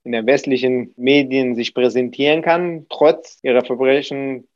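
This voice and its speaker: Guy talking earnest in an interview, flat voice, kinda muffled dry audio. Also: mid-range voice, moderate pace, no echo. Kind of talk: interview statement.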